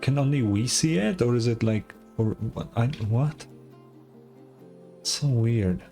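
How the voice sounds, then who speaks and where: A man's voice: medium pitch, slow pace, creaky, dark tone. Man muttering casual game commentary over music. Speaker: gameplay streamer.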